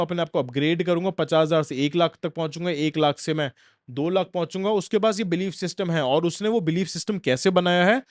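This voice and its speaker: A man's voice: a little high, dry recording, studio quality. A young man giving a motivational self-help lecture, talking straight at the listener and repeating points for emphasis. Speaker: self-help course instructor.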